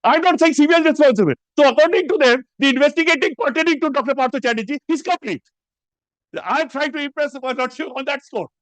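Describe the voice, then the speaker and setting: A man's voice: extremely high pitch, quick pace, no echo, low-quality recording. A man speaking English with a Bengali accent, arguing a case as an advocate in a courtroom, emphatic and constantly addressing the bench as "my lord". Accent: Indian English, Bengali. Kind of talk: courtroom argument.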